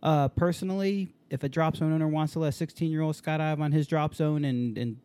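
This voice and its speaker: Easygoing podcast host, man in his 40s, warm low-key tone, curses casually. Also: brisk, clear, resonant tone. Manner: loose, swears freely.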